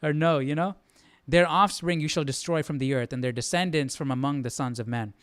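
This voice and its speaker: A man with a smooth, clear voice in a dry, close-sounding space, hosting a casual live study. He talks informally, working through his thoughts aloud and often checking that the listener follows. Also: a little high, fairly quick, dry recording. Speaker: host of a live Bible study stream. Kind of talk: casual live commentary on scripture.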